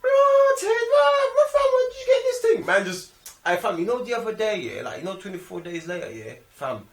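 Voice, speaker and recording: A young man with a London accent talks through a film in loose, slangy chat. His voice sits high and jumps around freely, picked up a little far from the mic in an echoey room. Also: fairly quick. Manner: animated, slangy. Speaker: film review show host. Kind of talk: casual movie review chat. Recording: roomy, distant microphone.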